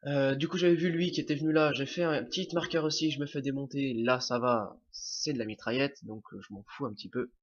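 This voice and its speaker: A man's voice: mid-range voice, fairly even intonation, brisk, hardly any pauses. A young man with a French accent talks through his gameplay while fighting a cold. He hesitates often, restarts his sentences and leans on fillers. The audio sounds dull and lo-fi, like a cheap home microphone. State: has a cold, sniffling. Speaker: gameplay commentator. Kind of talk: casual game commentary.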